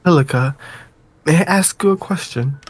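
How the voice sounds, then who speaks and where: A man's voice: fairly high pitch, moderate pace, slightly rough. A troubled young noblewoman from a gothic visual novel, voiced by a man. She speaks politely, as someone raised in a manor would, with music playing behind her. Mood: hesitant. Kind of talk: dramatic read of visual novel dialogue.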